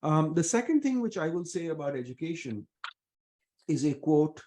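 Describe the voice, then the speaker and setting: Casting: middle-aged Indian-accented man, warm low-key tone, earnest guest answering with pauses and fillers. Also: big pitch swings. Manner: earnest, measured, many fillers. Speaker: venture investor guest. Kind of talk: reflective interview answers.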